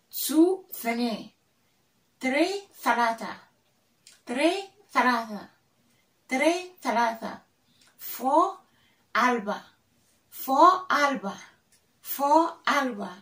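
A young woman from Ghana talking to camera in a vlog, slowly drilling words and repeating each one several times. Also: fairly high pitch, a bit bright, recorded from a distance. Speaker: vlogger. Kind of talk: casual teaching to camera. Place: small room indoors, plain wall. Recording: echoey room, voice off-mic.

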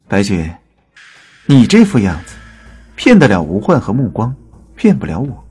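A man's voice: lowish voice, huge pitch swings, takes it slowly, pauses often, a little mellow, slightly rough, soft background music, full character voice. A man playing a proud, sardonic and ruthless god in a dramatic audio drama, scornful and challenging. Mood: mocking, bitter.

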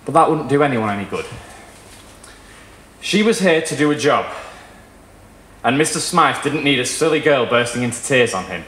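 A young man reading a literary short story aloud in a measured, dramatic way. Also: mid-range voice, expressive, fairly bright tone, reverberant, slight background noise. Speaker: reader at a live short story event. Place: small indoor performance room with wood panelling.

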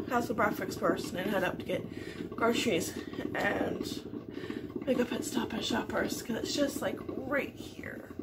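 A woman's voice: a little high, very noisy. A woman in her thirties chatting casually to camera in her home kitchen, rambling and laughing often. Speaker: vlogger. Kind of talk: casual morning chat to camera.

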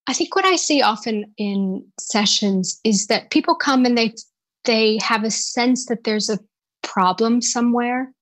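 A woman's voice: a little high, unhurried, dry recording. A woman voice and dialect coach answering interview questions on a live podcast, thoughtful and searching for words as she explains.

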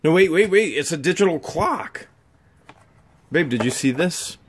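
A man's voice: on the high side, lively intonation, measured pace, slight background noise. A man hosting an unboxing vlog, chatting casually and exclaiming over each find, recorded on a handheld camera in a garage. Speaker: vlog host unboxing storage finds.

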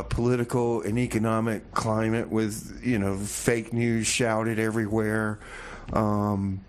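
A man with a medium-pitched voice hosts a casual radio interview. He is chatty and halting, repeating words while he works toward his questions. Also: slight background noise. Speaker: radio show host, interviewer.

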